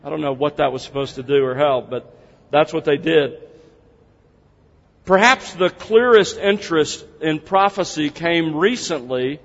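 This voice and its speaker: A man's voice: fairly high pitch, measured pace, creaky, a bit bright. A man teaching a seminar to a congregation, opening with prayer, speaking in an earnest, conversational way as he explains things step by step. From United States. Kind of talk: seminar lecture opened with prayer. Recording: dull, reverberant hall sound.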